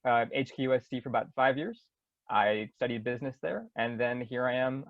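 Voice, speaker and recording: A man's voice: medium pitch, dry recording. A friendly man in his twenties from Hong Kong speaks as a webinar guest over a video call. He laughs easily. The sound is dry and dull, with a lo-fi edge. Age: 20s.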